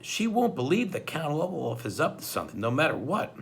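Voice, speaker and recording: A man's voice: fairly high pitch, wildly swooping pitch, a little fast, creaky, slightly rough, pitched above the performer's own voice, full character voice. A man voicing an earnest, bookish young boy in a storybook read-aloud. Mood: resigned.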